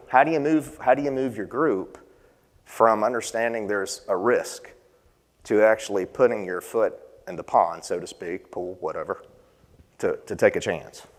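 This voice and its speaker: Man, conference presenter, low-ish voice, questions audience, long hall reverb. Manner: conversational, quizzes the audience with questions. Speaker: session presenter. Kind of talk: conference panel talk. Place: conference session room.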